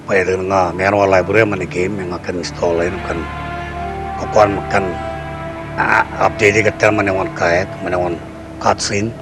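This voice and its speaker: A man's voice: deep voice, fairly even intonation, measured pace. A man doing a casual intro for a gaming video. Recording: loud music over the voice.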